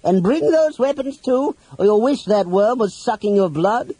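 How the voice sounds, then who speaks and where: A man's voice: high-pitched, lively intonation, mellow, slightly rough, no room sound, pitched above the performer's own voice. A man voicing a pompous, menacing goblin officer in a fantasy story, sneering out his commands. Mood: blustering rage. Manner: blustering, sneering, drawn-out commands.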